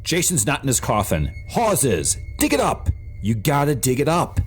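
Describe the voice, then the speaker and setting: A man's voice: mid-range voice, huge pitch swings, moderate pace, breathy edge. Man acting a desperate, wildly swooping young man. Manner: pleading, stammering. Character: desperate, stubborn young man pleading to be believed. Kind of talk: amateur radio play reading. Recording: faint music behind the voice.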